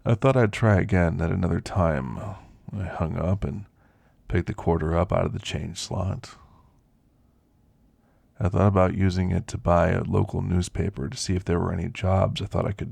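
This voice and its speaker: A man playing an elderly werewolf who reminisces in a folksy, gentle, unhurried way, in an ASMR roleplay with a gravelly, husky voice. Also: low voice, fairly even intonation, no echo, full character voice. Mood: wistful, reflective. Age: older. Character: elderly male werewolf, reflective, gentle storyteller recounting his past.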